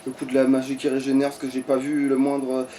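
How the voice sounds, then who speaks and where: A man's voice: mid-range voice, monotone, brisk, warm tone. Distant-sounding man grumbling through a game. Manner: foul-mouthed, grumbling, thinking aloud. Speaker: gaming streamer. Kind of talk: casual let's play commentary. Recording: distant mic with background noise.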